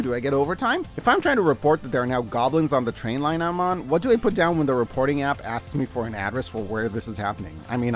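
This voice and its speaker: A man narrating a scripted review video as its host, in a casual, wry and expressive way. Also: mid-range voice. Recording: background music under the voice. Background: background music.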